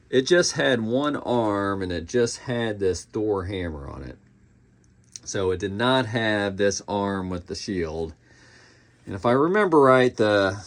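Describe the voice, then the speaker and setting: A man giving a casual, unscripted collectible review, thinking aloud with halting pauses. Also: fairly low pitch.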